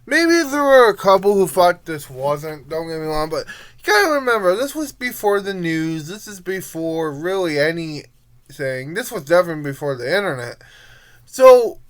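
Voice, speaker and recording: A young adult giving a casual review monologue in a rambling, informal way, halting and letting thoughts trail off. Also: medium pitch, very animated. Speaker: amateur reviewer.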